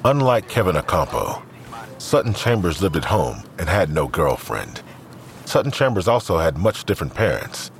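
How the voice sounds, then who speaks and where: Low, expressive male narrator. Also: normal speed, slight background noise. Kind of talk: scripted crime drama narration.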